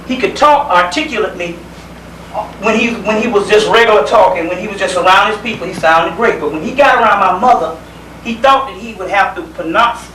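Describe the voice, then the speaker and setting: A man from South Carolina with a Southern US accent, giving an informal lecture in a folksy, conversational manner with pauses and repeated phrases. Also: sits high, sharp tone. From South Carolina, United States. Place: indoor lecture gathering. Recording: roomy, distant microphone, faint background noise.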